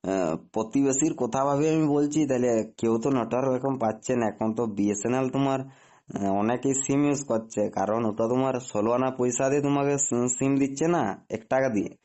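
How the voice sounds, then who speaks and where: A dull, lo-fi phone recording of a man. He talks fast in a mellow voice, rambling on insistently as a complaining customer. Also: medium pitch. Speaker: prank caller posing as phone customer. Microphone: phone call.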